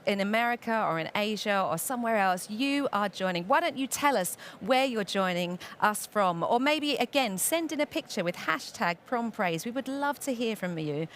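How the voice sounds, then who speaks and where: A woman's voice: mid-range voice, a bit bright, slight background noise. A woman hosting a live event pre-show, speaking to viewers in an enthusiastic, exclamatory and encouraging way.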